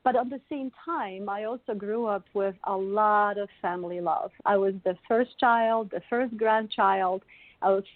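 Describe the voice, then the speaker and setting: A woman's voice: mid-range voice, measured pace, dry recording. A middle-aged woman from Poland with a Polish accent, a wellness coach telling her life story in an interview, laughing often as she talks. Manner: warm, laughs often while telling stories. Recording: dry, tinny call audio.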